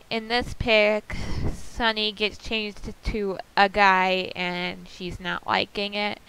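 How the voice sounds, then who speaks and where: A woman giving a casual video update as an amateur animator, halting and pausing in mid-sentence. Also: mid-range voice, sharp tone, clear, resonant tone, low-quality recording. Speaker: amateur animator presenting a voice audition call.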